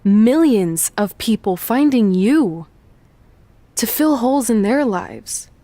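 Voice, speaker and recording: A woman's voice: on the high side, expressive, slow pace, warm tone. A woman playing a determined, loyal pro wrestler in a video game cutscene. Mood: earnest.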